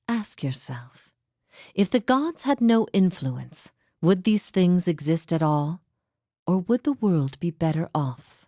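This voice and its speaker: A grave female teacher of a lost faith in a fantasy game, long imprisoned and forgiving. Her voice is low and closely dry, and she speaks in measured, reflective phrases, posing solemn questions like a philosopher. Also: darkish tone, no room sound. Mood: probing, calm. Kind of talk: scripted fantasy game dialogue.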